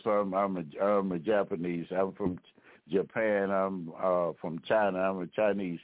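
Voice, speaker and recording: A man hosting an internet radio history talk, lecturing in a halting way with frequent restarts and repeated words. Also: fairly low pitch, no room sound. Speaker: history talk show host. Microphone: phone line. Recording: tinny phone-line call-in audio.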